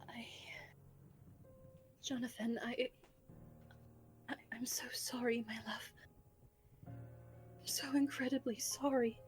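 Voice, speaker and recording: Devoted, gentle bride female performer for romance roleplay; formal, refined phrasing, raised pitch, faint music behind. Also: fairly even intonation, takes it slowly, pauses often, whispered. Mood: grieving. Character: gentle, devoted bride, genteel and politely firm. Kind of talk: romantic audio roleplay drama.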